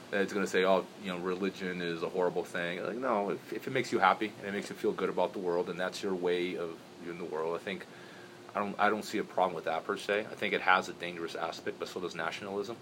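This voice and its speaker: A man who grew up in Brazil, rambling in a relaxed interview with many restarts and fillers. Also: low voice, slight background noise, low-quality recording. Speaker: interview guest. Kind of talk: casual interview talk.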